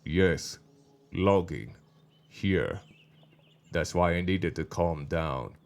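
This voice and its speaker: A man voicing a calm, centered werewolf man with a German accent, speaking in a measured, serious way for a game story. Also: low voice, voice pushed down, full character voice. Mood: bitter.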